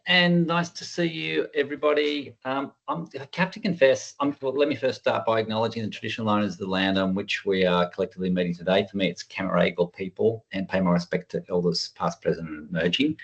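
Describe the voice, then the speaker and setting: A man's voice: on the low side, gravelly, dull audio. A man giving an informal online presentation as a workshop presenter, in a conversational, self-deprecating way. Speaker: workshop presenter, working group chair. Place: online video call.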